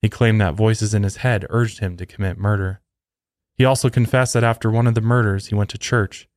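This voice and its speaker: A man's voice: lowish voice, a little mellow, no room sound, clean studio sound. A man hosting a podcast, reading a scripted true crime narration. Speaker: podcast host.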